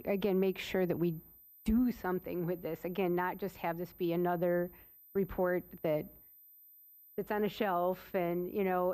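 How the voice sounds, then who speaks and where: A woman commissioner speaks earnestly at a city meeting. Her voice is mellow and her pitch stays flat and even. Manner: measured, earnest. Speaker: commission member. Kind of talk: meeting discussion.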